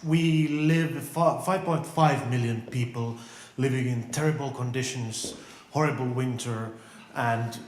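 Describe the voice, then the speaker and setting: A man's voice: mid-range voice, steady tone, unhurried, a little mellow, slight background noise. A Finnish-accented man talking to an audience with a mid-range voice, cracking self-deprecating jokes and laughing between lines. His voice rings out in a roomy event hall. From Finland. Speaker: film director, panel speaker. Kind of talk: humorous conference talk. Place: large event room.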